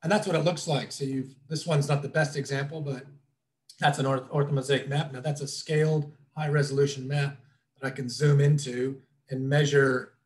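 A man's voice: mid-range voice, fairly even intonation, moderate pace, a little mellow. A middle-aged man explaining things informally as a trainer in an online webinar, in a casual, loosely worded way, recorded indoors over a video call. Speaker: drone trainer presenting a webinar. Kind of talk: informal instructional presentation over slides.

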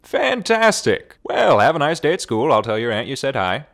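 A man's voice: medium pitch, big pitch swings, normal speed, vocal fry, a bit bright. A man voicing a volatile, shifty dad who turns sweet with family, in an anime parody dub. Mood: cheerful. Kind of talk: fan parody dub acting.

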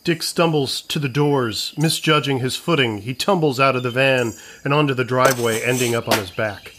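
A man's voice: medium pitch, normal speed, fairly bright tone, slight background noise. A man reading scripted narration for an audio comedy, describing scenes as the narrator.

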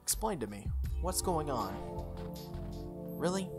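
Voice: mid-range voice, sing-song, takes it slowly. A game streamer voicing an irritable, bossy young female mage from a visual novel, indignant and demanding. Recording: music playing under the voice.

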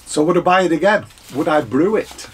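A Scottish man in his fifties with a croaky voice, chatting casually through a beer review at his desk, with frequent fillers and throat clearing. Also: a little high, animated, a little fast, slight background noise. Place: home workshop, indoors, small room.

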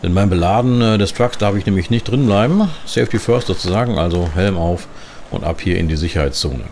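Low-voiced man over rumbling engine noise. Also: animated, a little fast, darkish tone. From Germany. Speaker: gaming channel host. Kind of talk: in-character commentary over a truck driving game. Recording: clear engine and vehicle noise behind. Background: truck simulator game sound.

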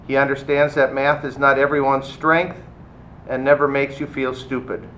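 A man's voice: mid-range voice, fairly bright tone, a little hiss or hum, poor audio. A middle-aged male teacher reading reviews aloud and reacting casually in a classroom. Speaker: math and computer science teacher. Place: indoor classroom.

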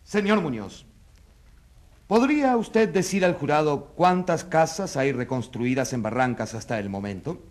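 Indignant, high-pitched crusading journalist. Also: male voice, animated, measured pace. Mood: pressing, indignant. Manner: emphatic, oratorical, rhetorical questioning. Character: indignant crusading male journalist acting as accuser. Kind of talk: dramatic radio play acting.

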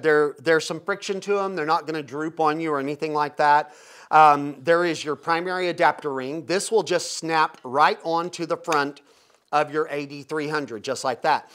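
A man's voice: a little high, fairly bright tone. A man in his fifties talking casually and informally as he explains and demonstrates a product. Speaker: product reviewer, host. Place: indoor photo studio with backdrop.

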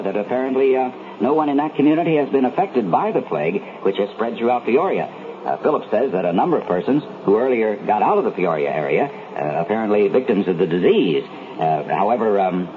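An actor playing a flustered radio news anchor in a 1970s drama, halting and hesitant with many ums and stammers. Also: male voice, on the low side, lightly acted. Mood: flustered, apologetic. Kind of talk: 1970s radio newscast acting. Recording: narrow, noisy 1970s radio recording.

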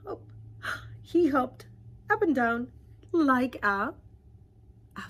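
Middle aged lady teacher reading a kids book, very up and down pitch, breathy husky voice. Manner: animated, dramatic pauses, asks listeners questions. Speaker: teacher reading a storybook aloud to children. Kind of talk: expressive children's storybook reading.

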